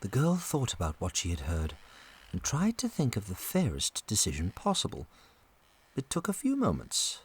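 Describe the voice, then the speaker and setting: A man narrating a scripted children's story as an expressive storyteller. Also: on the low side, moderate pace, a little hiss or hum.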